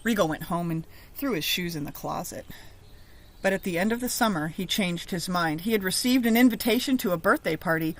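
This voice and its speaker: A woman reading a children's story aloud in an expressive, teacherly narrating style. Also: on the low side, fairly quick.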